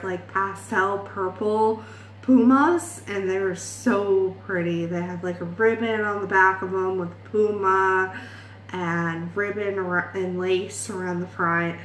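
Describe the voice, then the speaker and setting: Slow-talking chatty young woman vlogger. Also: medium pitch, a bit bright, echoey room, slight background noise, poor audio. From Ireland. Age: 20s. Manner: rambling, self-deprecating, laughs often. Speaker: beauty vlogger. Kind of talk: chatty makeup vlog.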